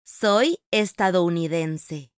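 A woman reads model sentences for learners at a very slow pace, her lowish voice smooth and clean. She enunciates each word with care. The recording is dry and close. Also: dry recording. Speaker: native speaker model in a language course.

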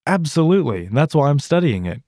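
American male podcast host, animated pitch, warm mellow voice, scripted lesson. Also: a little fast, no echo, clean studio sound. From United States.

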